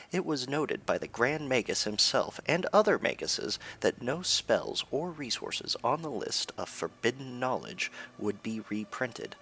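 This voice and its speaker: A man's voice: fairly low pitch, gravelly, fairly bright tone, slight background noise, heavily acted. A man playing an earnest news anchor in a fantasy radio news parody, with a polished, presentational delivery.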